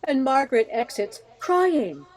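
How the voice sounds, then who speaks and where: A woman's voice: high voice, very animated, measured pace, darkish tone. An older woman reading scripted narration as the narrator of a radio play. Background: music.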